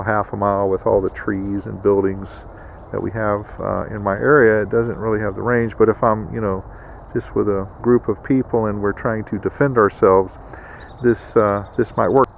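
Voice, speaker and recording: A middle-aged American man with a lowish, gravelly voice talks casually through a piece of gear. He rambles in a folksy, explanatory way, like a host addressing his viewers. Vehicle and ambient noise sits behind him. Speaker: prepper video host. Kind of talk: casual explanatory product walkthrough.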